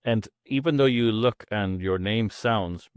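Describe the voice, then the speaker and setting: A man hosting an interview, asking direct questions in a casual, brisk way. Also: on the low side, clean tone, dry recording. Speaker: interview host.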